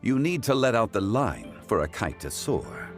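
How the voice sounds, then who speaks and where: Casting: a courteous scholarly sage for a video game. Low voice, expressive melody, formal and eloquent delivery. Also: male voice, moderate pace, music in the background. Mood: calm, philosophical. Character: Zhongli, calm wise courteous scholarly gentleman. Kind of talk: scripted video game dialogue.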